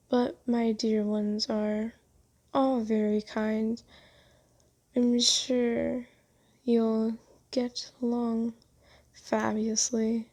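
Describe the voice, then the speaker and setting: Woman acting as a doting female vampire, very slow pace, mellow tone, soft and genteel, soothing, gently teasing, ASMR roleplay. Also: a little high, heavily acted. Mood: gentle, reassuring.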